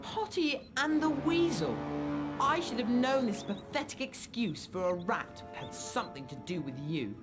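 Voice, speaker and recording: A man's voice: very high-pitched, expressive, a little slow. An actor playing a spoiled boy wizard bully in a video game, drawling and taunting with mockery. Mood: mocking. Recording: loud music behind the voice.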